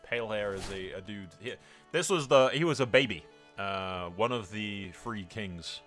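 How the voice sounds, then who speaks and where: A man with a fairly low, bright and forceful voice talks through a roleplay game as its host. His commentary is casual and irreverent, peppered with swearing. Faint music plays behind him. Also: unhurried. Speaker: host of a gaming roleplay playthrough.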